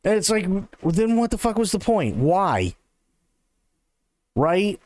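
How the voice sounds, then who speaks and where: Sarcastic streamer guy ranting and swearing at a showcase, smooth clear voice, dry close mic. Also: sits high, big pitch swings, slow pace, darkish tone, no echo. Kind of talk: profane live-stream commentary.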